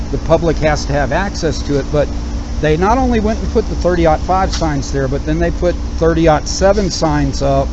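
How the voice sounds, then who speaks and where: A man speaking as a caller on a phone conversation, explaining his complaint in a halting, repetitive way. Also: a little high. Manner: halting, repeats himself, explanatory. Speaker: caller complaining to city official. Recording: muffled call, loud car and outdoor noise.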